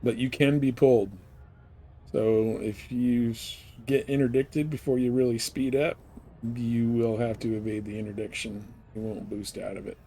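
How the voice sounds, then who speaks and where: A man's voice: mid-range voice, little pitch movement, moderate pace, dark tone. A man talks casually through a live game stream in a mid-range voice. His delivery is dry and wry. Some game noise sits behind him. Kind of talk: casual live gameplay commentary.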